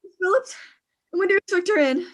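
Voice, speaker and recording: A nervous, demanding invalid wife in a 1940s-style radio thriller. Her voice is extremely high. She sounds imperious and fretful, always on the edge of breathless panic. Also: quick pace, a bit bright. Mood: agitated demanding. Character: anxious, demanding invalid wife terrified alone at home.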